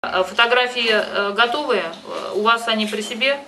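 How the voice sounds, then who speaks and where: A woman's voice: on the high side, normal speed. Insistent woman, distant and noisy recording. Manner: insistent, emphatic, repeats herself. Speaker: advisor and host of the phone conversation. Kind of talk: phone conversation, giving urgent advice. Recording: distant microphone with loud background noise.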